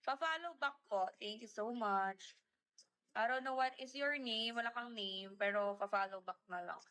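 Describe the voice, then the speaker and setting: A woman's voice: fairly high pitch, moderate pace, pushed and bright. A young woman, live-streaming and chatting casually, greeting viewers by name and mixing Tagalog with English. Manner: mixes Tagalog and English mid-sentence. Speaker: livestreamer.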